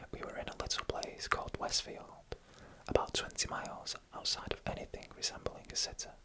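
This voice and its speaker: A narrator reading a scripted story in a breathy ASMR whisper, in slow, deliberate phrases with short pauses. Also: rough recording.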